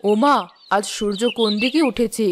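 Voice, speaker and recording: A woman playing a sharp-tongued village housewife in a cartoon fairy tale, teasing and sarcastic. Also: a little high, moderate pace, a little hiss or hum, lightly acted. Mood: mocking. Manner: sarcastic, taunting. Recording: music and birdsong behind the voice.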